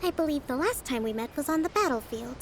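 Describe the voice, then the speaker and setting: Calm young leader negotiating, high clear voice, some noise behind. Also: female voice. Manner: calm, measured, diplomatic. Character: young female island leader, calm strategist. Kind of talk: video game voice acting.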